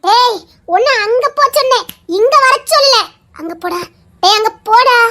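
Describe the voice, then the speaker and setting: A fiery young sweetheart, acted by a woman, scolding and threatening her lover but laughing through it. She speaks in an extremely high, piping voice in a lively amateur drama. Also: moderate pace, full character voice.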